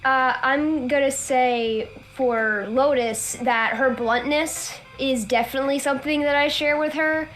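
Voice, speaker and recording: High-voiced giggly woman. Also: steady tone, a little slow, hardly any pauses, fairly bright tone, soft background music, rough recording. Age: adult. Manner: blunt, giggly. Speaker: livestream co-host steering questions. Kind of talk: casual chat.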